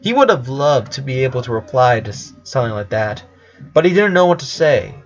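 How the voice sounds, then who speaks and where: A man's voice: mid-range voice, sing-song, a little fast, soft background music. A man narrating a dramatic written story, occasionally stumbling over his words. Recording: slightly dull lo-fi recording.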